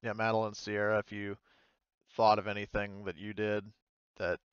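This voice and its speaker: Guy game mastering, lowish clean voice, easygoing, sweary, dry slightly dull audio. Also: little pitch movement, normal speed, clear, resonant tone, no echo. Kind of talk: casual tabletop game talk.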